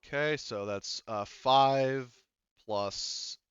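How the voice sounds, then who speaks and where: A man's voice: mid-range voice, extremely slow, fairly bright tone, smooth, no echo, highs cut off. A man acting as game master, casually narrating and refereeing a tabletop roleplaying game, thinking aloud and often correcting himself.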